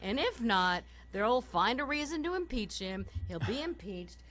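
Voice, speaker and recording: A woman with a hoarse voice from a summer cold, co-hosting a political talk radio show from home, ranting animatedly and laughing often as she talks. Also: on the high side, very animated, measured pace, a bit bright.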